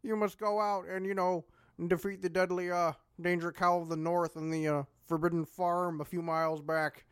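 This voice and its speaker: A woman's voice: fairly low pitch, little pitch movement, normal speed, clean tone, no room sound, studio quality, lightly acted. An actress playing a bumbling, insistent fake Troll King made of goblins, pompous and stumbling, in a comic fantasy audio drama. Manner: pompous, stumbling over own claims.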